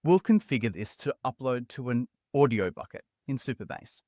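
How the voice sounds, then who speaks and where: A man hosting a software tutorial as a scripted voice-over, explaining steps in an upbeat, conversational way. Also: medium pitch, lively intonation, moderate pace, no echo, studio quality. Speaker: tutorial host.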